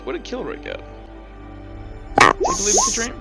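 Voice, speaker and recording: A man running a tabletop roleplaying game as game master, talking casually and haltingly as he thinks aloud. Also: extremely high pitch, huge pitch swings, creaky, over music.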